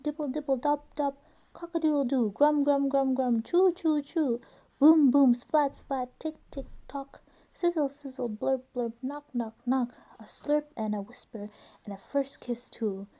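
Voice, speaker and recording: Unhurried, mellow woman reading to children. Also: high-pitched. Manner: expressive, with playful imitations of noises. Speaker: children's book reader. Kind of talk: read-aloud storytelling for young children.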